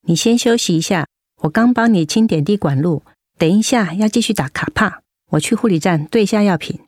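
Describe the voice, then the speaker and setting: An actress playing a caring, firm older-sister nurse, gently admonishing in Taiwanese Mandarin, in a radio drama. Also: female voice, medium pitch, fairly quick, no echo, studio quality, close to an everyday voice. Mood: earnest, caring.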